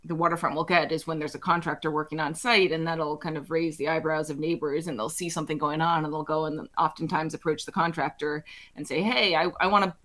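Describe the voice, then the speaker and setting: A woman architect and firm head, talking in a relaxed interview, thoughtful and with plenty of restarts. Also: fairly quick. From Alaska. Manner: thoughtful, frequent restarts and fillers, laughs lightly; speaks with conviction in a lowered register. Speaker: architect and firm CEO, guest. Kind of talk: casual interview conversation.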